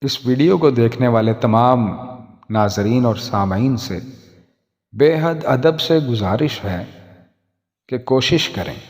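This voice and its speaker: A man narrating a scripted moral story as a storyteller, in a measured, formal literary manner. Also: mid-range voice, animated, a little mellow. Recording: long hall reverb, music underneath. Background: background music.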